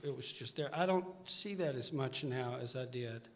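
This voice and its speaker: A man's voice: medium pitch, moderate pace, a little hiss or hum. An older man, a singer-songwriter, chatting casually and earnestly as an interview guest on stage. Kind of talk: casual onstage interview chat. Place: stage of a live music show. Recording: reverberant hall, music behind.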